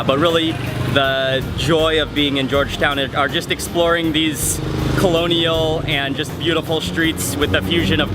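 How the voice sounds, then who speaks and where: A man casually narrating a travel vlog while walking outdoors, relaxed and chatty with fillers. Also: on the high side. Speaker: travel vlogger. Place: outdoors on city streets. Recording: music bed and traffic behind.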